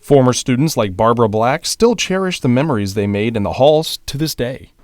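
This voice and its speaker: A man's voice: mid-range voice, lively intonation, fairly quick, slight background noise. A man in his twenties with an American accent, delivering scripted reports as a television news reporter.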